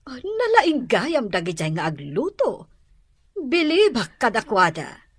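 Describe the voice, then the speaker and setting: A woman's voice: a little high, wildly swooping pitch, a little slow, husky, no echo, heavily acted. A woman playing a gracious, hospitable married madam of the house in a drama, with an Ilocano accent. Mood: warm, planning. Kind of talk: acted dialogue in an Ilocano drama.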